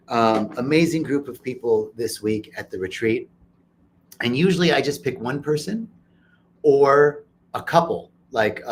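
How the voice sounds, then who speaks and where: A man hosting a casual interview at a retreat center, upbeat and joking and quick to laugh. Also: medium pitch, a little hiss or hum. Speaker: host. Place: retreat center in Costa Rica.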